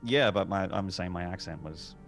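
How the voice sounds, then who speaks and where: Man from arkansas, very deep, gravelly, quick joking table talk, faint music. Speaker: player. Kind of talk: casual tabletop game chat.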